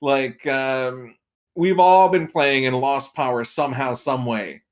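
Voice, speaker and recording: A man's voice: medium pitch, steady tone, slow. A man speaking casually and enthusiastically as a guest on a video game podcast.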